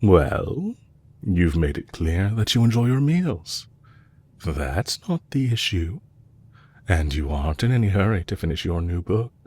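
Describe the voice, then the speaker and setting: A man playing a composed, courteous villain leader in an audio roleplay, speaking in a measured, formal way to a captive he is fond of. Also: deep voice, very animated, a little mellow, husky, heavily acted. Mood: probing, courteous.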